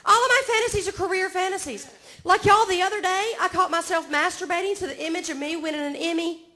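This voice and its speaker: A woman's voice: very high voice, steady tone, fast talker, bright, forceful tone. A woman in her forties from Georgia with a Southern accent, doing a self-mocking stand-up set on a comedy club stage and chatting with the crowd. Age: 40s.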